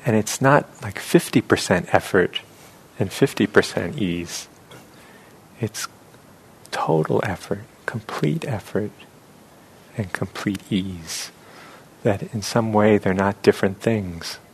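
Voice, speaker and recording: Very slow, lowish-voiced man guiding meditation. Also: slightly rough. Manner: unhurried, reflective, speaking in short phrases with long pauses. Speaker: meditation teacher. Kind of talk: guided meditation.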